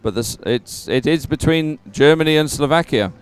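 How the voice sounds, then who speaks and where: Clear-voiced man commentating live sport. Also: fairly high pitch, normal speed.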